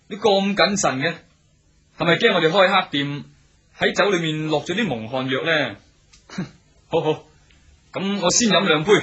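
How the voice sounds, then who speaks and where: A talkative, obliging innkeeper in a Hong Kong Cantonese radio drama. His voice sits fairly high and has a very bright, forward edge. He is deferential and eager to serve, and the recording is slightly dull. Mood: placating. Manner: deferential, chatty, with many hesitant interjections.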